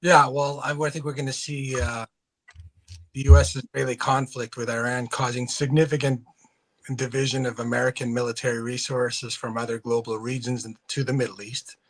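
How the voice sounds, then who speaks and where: A man from Canada, giving measured, analytical commentary on a live panel with frequent fillers. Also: medium pitch, flat delivery, low-quality recording.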